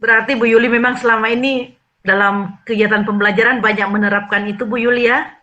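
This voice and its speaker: A woman's voice: fairly high pitch, steady tone, quick pace, pushed and bright. A woman with a Central Sulawesi Indonesian accent coaching a teacher, encouraging and affirming, asking guiding questions. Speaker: academic supervision coach. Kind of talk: role-play coaching conversation. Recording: dull lo-fi recording.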